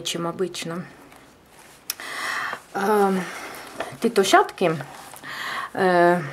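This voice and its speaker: Female crochet tutor, Czech accent, halting delivery with frequent "eh" fillers. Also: medium pitch. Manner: hesitant, many filler sounds. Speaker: crochet teacher. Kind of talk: tutorial explanation. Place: indoors at a table.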